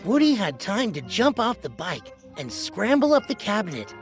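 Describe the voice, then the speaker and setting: A woman's voice: mid-range voice, sing-song, normal speed. A woman narrating a children's read-along storybook in a scripted storytelling style. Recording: music behind the voice. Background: music.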